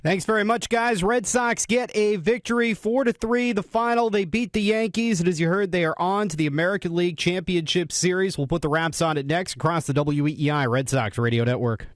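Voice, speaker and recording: A man's voice: high voice, a little fast, few pauses, dry recording. A man anchoring sports radio and announcing results from the studio.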